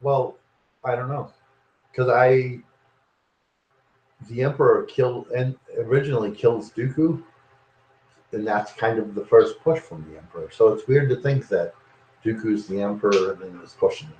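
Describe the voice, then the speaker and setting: A man's voice: mid-range voice, moderate pace, long pauses, a little mellow. A middle-aged man co-hosting a podcast, teasing and joking in casual banter. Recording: roomy echo.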